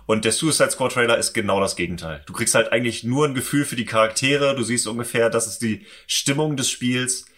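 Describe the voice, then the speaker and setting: Forceful, bright-voiced man in casual chat. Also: medium pitch, a little fast, few pauses. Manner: colloquial, enthusiastic. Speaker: podcast co-host. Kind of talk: casual chat about games and comics.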